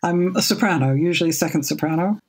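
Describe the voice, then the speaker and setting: A woman in her seventies with a low speaking voice, chatting as a podcast guest in a measured, thoughtful way, often repeating a word as she restarts. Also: darkish tone, breathy edge. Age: 70s. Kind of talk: casual podcast interview.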